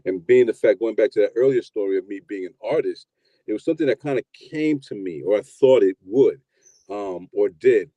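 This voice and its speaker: A man in his fifties from New York telling his story in a relaxed, rambling interview, often repeating words as he starts. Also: fairly low pitch, fairly quick, no echo, muffled recording. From New York, USA. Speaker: guest, producer and DJ.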